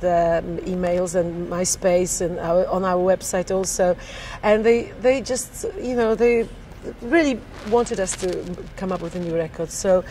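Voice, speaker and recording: A woman's voice: lowish voice, warm tone, a little hiss or hum, poor audio. A middle-aged woman from Poland with a Polish accent, chatting about her music in an interview and laughing often. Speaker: singer interviewed. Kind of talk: casual interview chat. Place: backstage at a theater.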